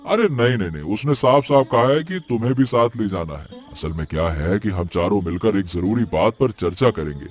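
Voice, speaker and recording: Struggling village farmer, male actor: supportive and reflective, measured cartoon-story delivery, faint music behind. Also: on the low side, animated, lightly acted. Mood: reassuring, calm. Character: struggling taro farmer Mohan, supportive, reflective childhood friend. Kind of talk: Hindi cartoon moral-story dialogue.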